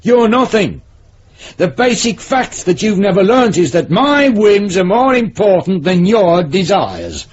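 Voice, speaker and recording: Condescending British police superintendent. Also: male voice, high-pitched, sing-song, unhurried. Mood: scornful, domineering. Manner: condescending, cutting, authoritative. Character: domineering, vindictive senior male police superintendent. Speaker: actor in a radio drama. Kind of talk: 1970s British radio drama acting. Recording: dull old radio recording, background noise.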